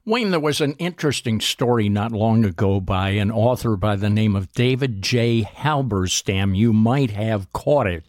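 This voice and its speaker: A man hosting a radio show, interviewing a guest and introducing segments in a measured, announcer-like manner. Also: mid-range voice, no room sound, studio quality.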